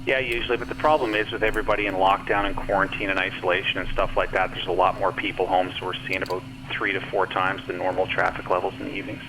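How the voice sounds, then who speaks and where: A man's voice: sits low, little pitch movement, fast talker, few pauses. A man working as an air traffic controller, speaking in clipped procedural style over the radio. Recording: noisy aviation radio transmission.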